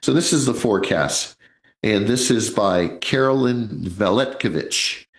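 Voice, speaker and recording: A man's voice: on the low side, unhurried, roomy sound, rough recording. Chatty man in his sixties on radio. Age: 60s. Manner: chatty, jokey, addresses listeners as folks. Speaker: radio host, financial advisor. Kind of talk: live radio talk.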